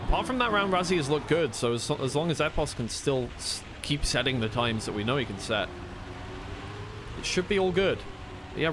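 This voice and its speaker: A man's voice: medium pitch, background noise. A man giving live play-by-play commentary as an esports caster on a racing game match.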